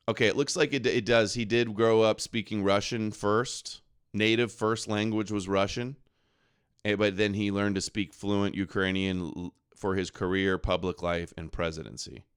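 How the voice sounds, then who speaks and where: A man's voice: on the low side, steady tone, measured pace, clean tone. A man hosting a live reaction show, talking back to a clip in a sarcastic, mocking, argumentative way.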